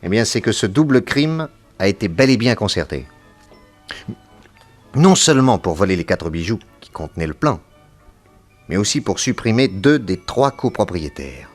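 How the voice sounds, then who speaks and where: Casting: a deep-voiced private detective, composed, probing, deliberate, for a radio mystery play with light music behind. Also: male voice, very animated. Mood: grave, deductive. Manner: measured, deliberate questioning. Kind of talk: French radio detective drama acting.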